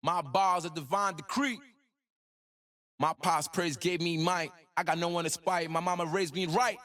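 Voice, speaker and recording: A man's voice: on the high side, steady tone, moderate pace, a bit bright, lightly acted. A man voicing a cocky young rapper prodigy in a video game, delivering rhymed boasts with a swaggering, rhythmic flow. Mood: proud, lyrical. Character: cocky young gifted male rapper prodigy, playful, proud. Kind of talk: rhymed, rap-like game voice lines.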